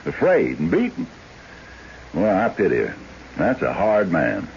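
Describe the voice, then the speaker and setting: Deep-voiced folksy country lawman. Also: huge pitch swings, a little slow, vocal fry, a little mellow, heavily acted. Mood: wary, musing. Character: folksy rural American lawman, plainspoken and wary. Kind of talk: old-time radio drama acting. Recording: dull, hissy radio drama recording.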